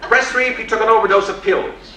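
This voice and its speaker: A man voicing a brisk, relentlessly chirpy automated hospital phone menu in sing-song announcements, as part of a comic stage story. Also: high-pitched, fairly even intonation, sharp tone, recorded from a distance, voice pushed up. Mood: brightly officious. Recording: echoey, noisy stage recording from afar.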